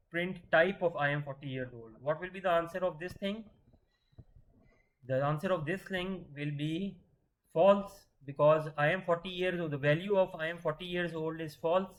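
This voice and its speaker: A man's voice: a little high, rough recording. A man teaching a programming lesson, patiently asking questions and answering them himself, often restarting words. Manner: restarts words mid-sentence. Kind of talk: screen-share coding lesson.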